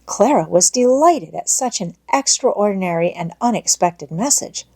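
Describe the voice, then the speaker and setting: A woman's voice: mid-range voice, animated, moderate pace, warm tone, slightly rough. A woman narrating an audiobook, reading a children's story expressively from a script.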